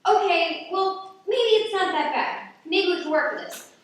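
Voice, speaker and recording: A woman's voice: very high-pitched, lively intonation, measured pace. A woman playing an anxious, bossy, earnest schoolgirl in a radio play. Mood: exasperated, urgent. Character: anxious, bossy schoolgirl scheming to save parents' marriage. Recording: echoey room, distant mic, faint noise.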